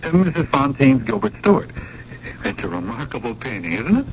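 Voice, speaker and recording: An actor playing a nervous, eager, scheming younger brother who talks in hesitant, roundabout rambles in a radio drama. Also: male voice, mid-range voice, big pitch swings, moderate pace, lightly acted. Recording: lo-fi old radio recording with clear noise.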